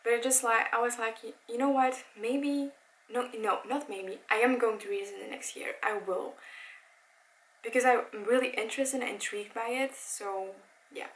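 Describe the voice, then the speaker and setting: Young woman in her twenties, first language Dutch, easygoing and excitable, chatty vlog delivery with lots of fillers and restarts. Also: fairly high pitch, moderate pace, rough recording. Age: 20s. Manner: chatty, enthusiastic, with frequent self-corrections and "like". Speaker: book vlogger. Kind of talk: casual chat. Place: home room by a bookshelf.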